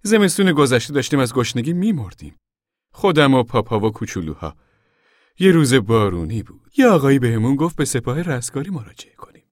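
A man's voice: medium pitch, big pitch swings, dry recording, clean studio sound, pitched above the performer's own voice, lightly acted. A man voicing a proud, outspoken poor woman in a story, insistent, emotional and bitter. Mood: bitter, impassioned.

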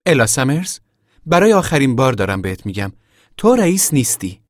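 A man voicing a stern, indignant committee woman in a story, sharp, scolding and commanding. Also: mid-range voice, big pitch swings, no room sound, clean studio sound, pitched above the performer's own voice, natural voice. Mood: stern reproach.

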